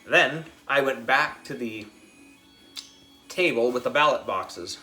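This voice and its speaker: A man narrating a children's story as a schoolboy, in an expressive, dramatic way. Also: mid-range voice, takes it slowly, faint music behind. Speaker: audiobook reader, narrating as a schoolboy.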